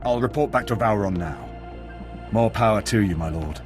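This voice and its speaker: An actor playing an earnest, rattled military spy in a video game, clipped and deferential in delivery. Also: male voice, on the low side, moderate pace, over music, natural voice. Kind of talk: video game cutscene acting.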